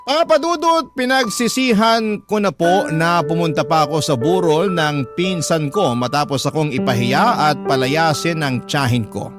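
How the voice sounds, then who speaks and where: A man's voice: a little high, measured pace. A man with a Filipino accent reading a scripted first-person letter as a radio drama host and narrator. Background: music bed.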